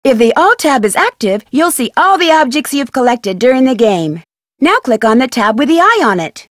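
A woman with an American accent voicing a friendly, inquisitive young amateur detective in a scripted video game, speaking in a chipper, clearly enunciated way. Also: high-pitched, very animated, normal speed, no echo, clean studio sound. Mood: chipper, instructive. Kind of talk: scripted video game voice lines and tutorial narration.

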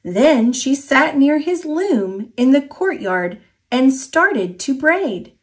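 A woman with an American accent reads a children's story aloud in an expressive, deliberate storytelling manner. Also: high voice.

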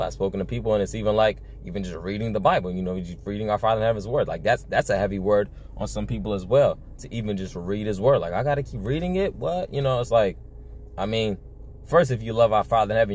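A young man with a fairly low voice preaches informally, as if chatting to his viewers. He is earnest and circles back over his points with frequent "you know". The sound is a phone recording inside a car, with faint noise behind him. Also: a little mellow. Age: young adult. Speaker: religious video blogger. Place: inside a parked car at night.

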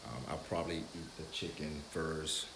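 A man in his fifties with a very deep voice talks casually straight to the camera, walking through his routine. The camera mic sits a few feet away in a home kitchen, so the sound is roomy and echoey. A steady background noise runs under his voice. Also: normal speed. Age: 50s. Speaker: vlogger. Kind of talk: casual how-to talk.

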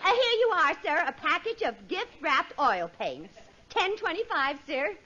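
An actress playing a female store clerk with a placating, expressive manner, in 1940s radio comedy style. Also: very high-pitched, a little slow, full character voice. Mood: polite, strained. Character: soothing, then exasperated female store clerk, wife. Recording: dull, noisy old radio recording.

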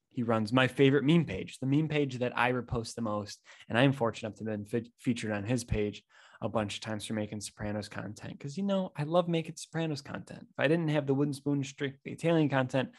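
An eager young man in his twenties, hosting a casual podcast interview into a desk mic in a small room. His middle-pitched voice is a little under the weather, and he talks in an excited, chatty way. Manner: upbeat, fast chatty delivery. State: a little sick. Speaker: podcast host. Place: small indoor room, plain wall. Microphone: desk microphone in frame.

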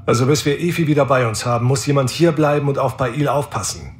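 An actor voicing a starship captain who gives orders firmly in a sci-fi audio drama. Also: male voice, medium pitch, fast talker, natural voice. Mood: commanding.

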